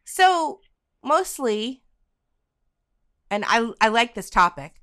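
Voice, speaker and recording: A woman hosting a podcast in casual chat, halting and full of fillers. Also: a little high, big pitch swings, fairly bright tone, dry recording, clean studio sound. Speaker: podcast host.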